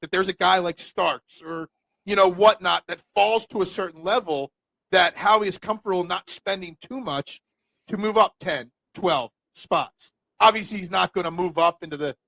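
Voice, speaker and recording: A loud, energetic man hosting a sports podcast, often tripping over repeated words as he pushes his questions. His voice is gravelly with plenty of fry and sits a little high. The recording is dry and close. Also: no echo, poor audio. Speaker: podcast host. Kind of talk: casual sports podcast chat.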